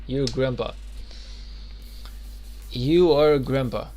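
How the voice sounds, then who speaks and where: A man's voice: mid-range voice, slow pace, warm tone. A man in his twenties chatting loosely while streaming a game, rambling with lots of fillers and restarts. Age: 20s. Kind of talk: casual live-stream chat.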